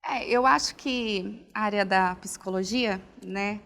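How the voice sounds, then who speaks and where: A woman's voice: medium pitch, measured pace, vocal fry, fairly bright tone. Reflective woman. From Northeast Brazil, Alagoas. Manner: reflective, frequent "né" and restarts. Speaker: interview guest, pedagogue and psychology student. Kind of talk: casual conversational interview. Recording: long hall reverb.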